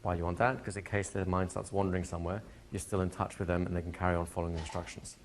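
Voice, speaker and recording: A man teaching a hypnotherapy class to a live group, explaining plainly and slipping into slow, soothing hypnotic patter for demonstrations. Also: low voice, flat delivery, slight background noise. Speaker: hypnosis trainer. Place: training room with a live audience.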